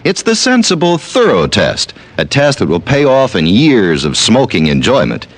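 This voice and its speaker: A male announcer in classic radio commercial style. His pitch is middling but moves in lively sweeps, with polished, emphatic phrasing on a vintage broadcast. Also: normal speed.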